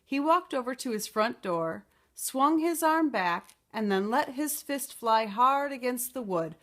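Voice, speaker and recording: A woman reading a children's picture book aloud as a storytime teacher, expressive and explanatory. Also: fairly high pitch, a little slow.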